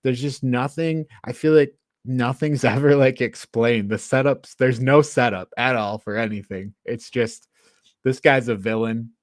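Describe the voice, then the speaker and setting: A man's voice: mid-range voice, fairly even intonation, measured pace, no room sound. Exasperated man chatting, close and dry. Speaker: podcast co-host. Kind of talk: casual film review chat.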